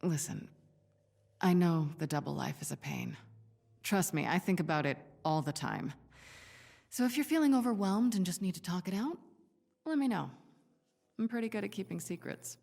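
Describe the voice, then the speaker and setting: A woman voicing a cool, seasoned spy and mentor in a video game, calm, dry and reassuring. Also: on the low side, moderate pace, vocal fry, long pauses, long reverb tail.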